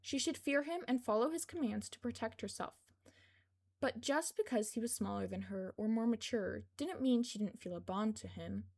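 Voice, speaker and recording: Woman reading a story, slightly creaky voice. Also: fairly high pitch, moderate pace, darkish tone. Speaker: fan fiction podfic reader. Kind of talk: scripted story narration.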